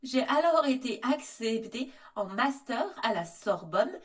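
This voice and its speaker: An earnest young former servant played by a woman testifies formally and deliberately. Her high voice sits a little away from the mic. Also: lively intonation. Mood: composed, earnest. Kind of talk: scripted testimony in mock interview. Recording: voice distant from microphone.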